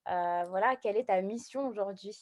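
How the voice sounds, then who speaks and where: A woman's voice: mid-range voice, brisk, dull audio. A woman hosting a casual live interview in an enthusiastic, encouraging way. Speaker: interviewer, show host.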